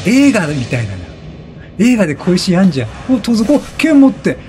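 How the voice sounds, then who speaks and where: A man giving casual, excitable let's play commentary, chatty and reactive, often repeating short phrases. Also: high-pitched, fairly quick. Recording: game music behind the voice. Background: video game music.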